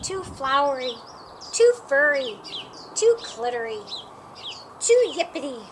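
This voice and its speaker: A stubborn little storybook schoolgirl, as a woman reader plays her, high-voiced and complaining. She fusses emphatically, word by slow word. Birdsong and clear noise sit behind the voice. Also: sing-song, full character voice. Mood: fussy, dismissive. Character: stubborn, fussy first-grade girl who dislikes books.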